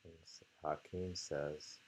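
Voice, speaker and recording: A man hosting a casual haiku discussion and reading poems aloud, in a halting, musing way that often trails off. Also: extremely low pitch, level tone, slight background noise.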